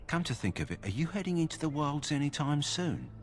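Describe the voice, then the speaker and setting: A man's voice: fairly high pitch, big pitch swings, fairly quick. A man voicing a matter-of-fact middle-aged male kennel master in a fantasy game, speaking plainly and practically. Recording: game audio with music behind.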